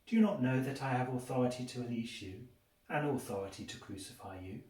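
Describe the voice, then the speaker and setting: A man's voice: mid-range voice, brisk, darkish tone. A man giving a dramatic scripture reading, emphatic and raising his voice on quoted speech. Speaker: scripture reader. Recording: echoey room, distant microphone.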